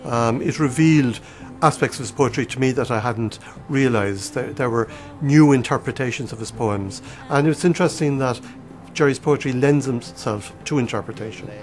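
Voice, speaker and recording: A man's voice: mid-range voice, normal speed, few pauses, a little mellow, faint music behind. A man giving a reflective documentary interview, with frequent ums between his thoughts.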